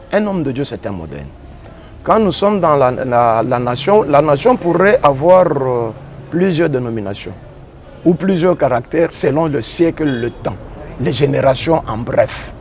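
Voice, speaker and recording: A man with a Congolese French accent, a pastor and engineer, answering interview questions in a measured way and repeating phrases as he defines ideas. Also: medium pitch, slight background noise. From Democratic Republic of the Congo.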